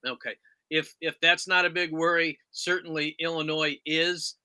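Bright, clear-voiced male podcast host. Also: on the high side, flat delivery, moderate pace. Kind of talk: sports talk interview.

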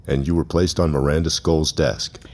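Very deep, gravelly police interrogator. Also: male voice, steady tone, normal speed, darkish tone, slight background noise. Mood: formal, probing. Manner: formal, clipped questioning. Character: probing, unyielding male police officer. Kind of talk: audio drama interrogation dialogue.

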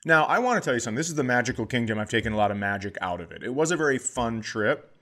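A middle-aged man, a comedian co-hosting a podcast, telling stories in a casual, animated way and acting out the people in them. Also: mid-range voice, rapid-fire. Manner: animated, acts out voices in his stories.

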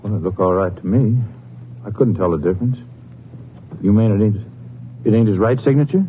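A man's voice: lowish voice, fairly quick, close to an everyday voice. An actor playing an evasive, nervous small-town feed barn man with a stammering, folksy manner in a 1950s radio drama. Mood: uneasy, defensive. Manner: stammering, hedging, folksy grammar. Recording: tinny, noisy old radio recording.

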